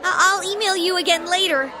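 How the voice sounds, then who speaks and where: High-pitched, feisty teenage schoolgirl. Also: normal speed. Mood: relieved, exasperated. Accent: American. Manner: emphatic, expressive, informal. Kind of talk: English video game voice acting. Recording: background music and crowd chatter behind.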